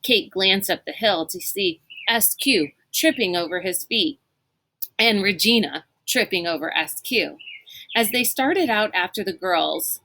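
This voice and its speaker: A woman reading a storybook aloud as an expressive narrator. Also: mid-range voice, a little slow, no room sound.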